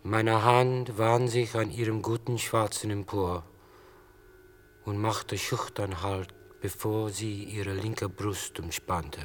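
An actor playing a tender, furtive lover narrating a seduction in literary radio play style. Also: male voice, lowish voice, steady tone, slow pace, slight background noise. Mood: tender, intimate.

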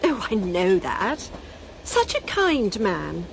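A woman's voice: medium pitch, wildly swooping pitch, takes it slowly, gravelly, noisy background, exaggerated voice. An actress playing a brash, gossipy, much-married older Englishwoman in a radio drama, gushing and teasing with theatrical gasps and giggles, shameless and merry. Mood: breezy, teasing.

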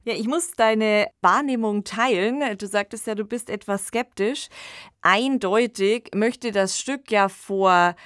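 A woman's voice: mid-range voice, slow pace, a bit bright, dry recording, studio quality. A woman co-hosting a conversational discussion about literature, talking in a reflective podcast style. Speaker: podcast co-host discussing radio plays and books.

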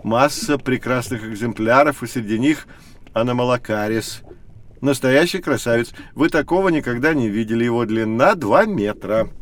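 A man's voice: mid-range voice, expressive, normal speed, close to an everyday voice. A man playing a kindly, knowledgeable professor, patiently explaining things in a children's educational audio drama. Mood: cheerful. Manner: patient, didactic.

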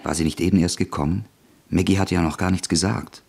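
A man's voice: deep voice, steady tone, fairly quick, gravelly, darkish tone, slightly rough, full character voice. A man narrating a literary radio drama from a script.

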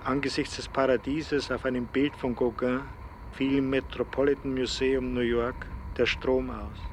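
A man's voice: medium pitch, level tone, normal speed, a little hiss or hum. A man reciting poetry for a radio drama in a solemn, declamatory manner.

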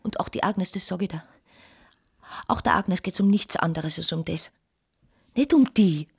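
An actress playing a bitter, demanding mistress with a husky, breathy voice in a radio drama. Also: female voice, on the low side, moderate pace, full character voice. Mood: accusing.

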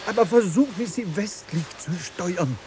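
Ein Schauspieler spielt im Hörspiel einen besorgten, förmlich und bedächtig sprechenden Lotsen im Sturm. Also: male voice, sits high, animated, a little slow. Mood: grave, tense. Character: measured, worried ship's pilot in a storm. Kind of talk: radio drama acting. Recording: clearly noisy ship sound effects.

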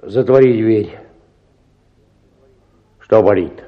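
An actor playing a pompous village medical assistant in a radio drama, giving instructions self-importantly. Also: male voice, lowish voice, extremely slow, phone-quality sound. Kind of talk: Russian radio drama acting.

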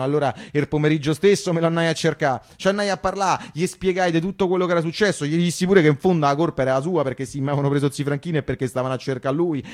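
A man with a Roman accent playing a naive, animated working-class character recalling his wartime boyhood in a colloquial, emphatic radio monologue. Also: a little high, natural voice. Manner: emphatic, repetitive, conversational.

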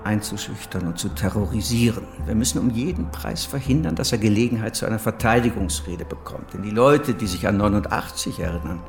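Male actor as calculating state official, radio play, lowish voice, brisk controlled manner, music underneath. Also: close to an everyday voice. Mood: cold, calculating. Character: cold, calculating official plotting a rigged trial. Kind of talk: radio drama acting.

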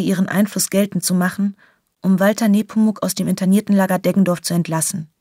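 A woman's voice: medium pitch, darkish tone, no echo, studio quality. A woman reading scripted literary narration in a radio play.

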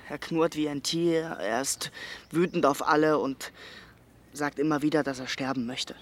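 A man's voice: on the high side, fairly even intonation, normal speed, natural voice. An actor playing a stubborn, plain-spoken young village man in a radio drama, blunt and matter-of-fact. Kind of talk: naturalistic German radio drama acting.

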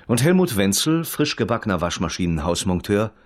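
A man narrating a radio crime play as a detached storyteller, terse and dry, with a husky voice. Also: on the low side, big pitch swings, a little fast. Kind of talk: scripted radio drama narration.

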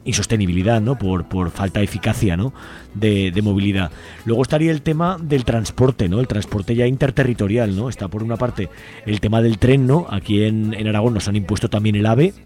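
Low, mellow man. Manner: tags statements with questioning 'no?'. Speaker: environmental activist guest. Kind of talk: radio interview, explanatory talk.

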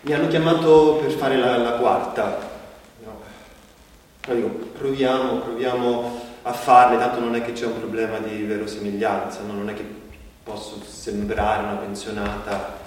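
An actor playing a self-questioning performer who explains himself to an audience in a halting, self-deprecating way. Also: male voice, on the low side, level tone, natural voice. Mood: searching, awkward. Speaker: stage actor. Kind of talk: theatrical monologue addressed to the audience. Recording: very echoey hall, distant mic, faint noise.

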